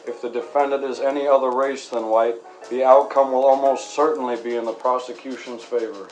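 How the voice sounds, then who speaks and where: A man playing a measured, principled lawyer and stern father in an acted radio interview, formal in his speech. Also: medium pitch, steady tone, close to an everyday voice, low-quality recording. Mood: measured, earnest. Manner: measured, formal, gently admonishing.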